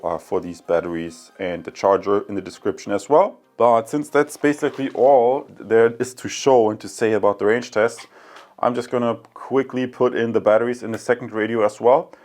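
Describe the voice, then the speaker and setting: A man's voice: mid-range voice, a little mellow. A man hosting a casual, unscripted product review, talking informally and directly to his viewers. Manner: informal, addresses viewers as guys.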